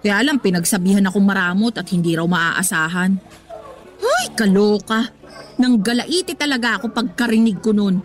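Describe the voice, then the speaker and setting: A woman's voice: medium pitch, animated, normal speed, natural voice. An actress with a Filipino accent playing a dramatic, exasperated young breadwinner girlfriend who vents emphatically in a radio drama. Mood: indignant. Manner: dramatic, emphatic, slangy venting. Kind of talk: Tagalog radio drama acting.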